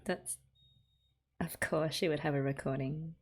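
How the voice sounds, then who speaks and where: A woman's voice: sits low, steady tone, measured pace. A young Australian woman hosting an anime reaction video, chatting casually and giggling often as she reacts. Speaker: anime reaction channel host.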